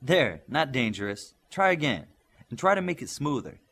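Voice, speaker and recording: Man playing a reassuring, husky young superhero boy. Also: medium pitch, sing-song, normal speed, fairly bright tone, exaggerated voice. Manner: reassuring, explanatory. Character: fast young boy superhero, encouraging and matter-of-fact.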